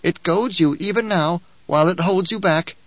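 A man's voice: sits high, normal speed, no echo, lo-fi sound, voice pushed up. A man reading an audiobook, voicing a whining, spiteful male dwarf who taunts and giggles. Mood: taunting.

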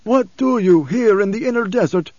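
Man acting a high-strained, nasal old blind preacher. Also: animated, darkish tone, no room sound, muffled recording. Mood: disbelieving. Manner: demanding, raised, drawn-out delivery. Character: blind old male desert preacher, imperious and troubled.

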